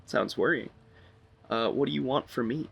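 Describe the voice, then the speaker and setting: A young man chatting casually as he plays a video game, in a creaky voice. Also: mid-range voice, moderate pace. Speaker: gamer reacting in play. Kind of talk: casual live gameplay chat.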